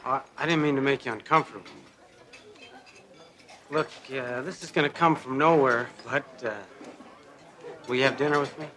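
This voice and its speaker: A man's voice: mid-range voice, moderate pace, pauses often, pushed and bright, some chatter behind, close to an everyday voice. An actor playing an earnest, hesitant man drawn to a waitress, in naturalistic television drama. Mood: nervous, earnest.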